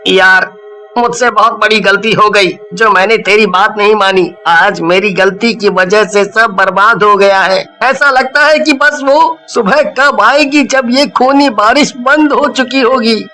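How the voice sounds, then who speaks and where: Man playing a high-pitched earnest village boy. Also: a little slow, sharp tone. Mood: remorseful. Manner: earnest, pleading.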